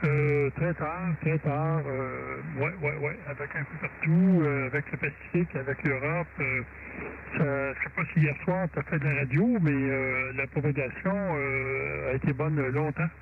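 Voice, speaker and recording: A man's voice: on the high side, measured pace, vocal fry. A man with a Québécois accent, a native French speaker, chatting casually as an amateur radio operator over a radio link. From Quebec, Canada. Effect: CB or ham radio transmission. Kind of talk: casual radio contact chat. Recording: narrow-band radio signal with loud static.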